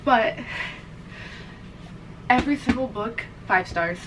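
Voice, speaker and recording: A young woman with a stuffed-up, teary voice rambles to camera, full of "like" and "literally." She sits back from the mic, and a clear hum of room noise runs underneath. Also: on the high side, lively intonation, takes it slowly, recorded from a distance. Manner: emotional, rambling, swears, says "like" and "literally" often. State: congested, teary. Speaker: reading vlogger. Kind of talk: casual vlog chat to camera.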